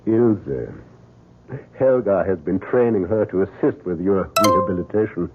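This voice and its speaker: An actor playing a kindly, fatherly German surgeon in an old-time radio drama, with an emphatic, deliberate delivery and a German accent. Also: low voice, animated, slightly rough, heavily acted. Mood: jovial, insistent. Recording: narrow, tinny vintage radio recording.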